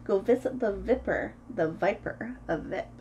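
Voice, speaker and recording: A woman in her thirties reading a picture book aloud to preschoolers in an expressive, sing-song way, as host of a story time. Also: mid-range voice, unhurried, muffled recording, slight background noise. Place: small room at home, indoors.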